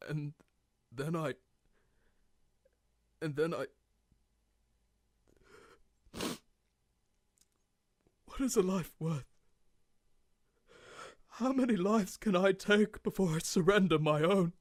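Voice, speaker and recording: A man's voice: a little high, slow pace, long pauses, no echo, clean studio sound. A man playing a tormented, guilt-ridden diarist sinking into madness, in an intense, formal horror-game monologue. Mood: anguished, breaking down. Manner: theatrical, formal old-fashioned diction, intense. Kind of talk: dramatic monologue, video game horror fandub.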